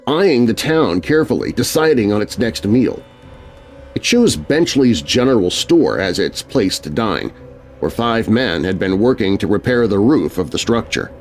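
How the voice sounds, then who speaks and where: An American man narrating a scripted scary story for children in a dramatic, suspenseful manner. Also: fairly low pitch.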